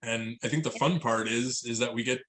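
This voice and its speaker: A man co-hosting over a video call, talking in a roundabout way and restarting sentences often. His voice sits at an ordinary pitch. The call audio has a roomy echo. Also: brisk, a bit bright, slight background noise. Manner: rambling with many restarts and "you know". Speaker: podcast co-host, financial advisor. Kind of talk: casual chat on a call.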